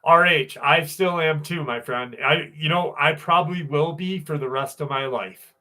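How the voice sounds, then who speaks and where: A man's voice: a little high, moderate pace, bright, forceful tone. A man hosting a live stream, telling stories in a loose, profane way and greeting viewers by name. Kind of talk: casual live stream chat and storytelling.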